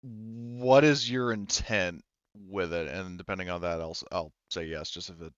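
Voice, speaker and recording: A man improvises narration as the game master of a tabletop roleplaying game. His voice is smooth and on the low side, and he rambles haltingly, thinking aloud. The recording is dry and slightly dull. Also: fairly even intonation, no echo.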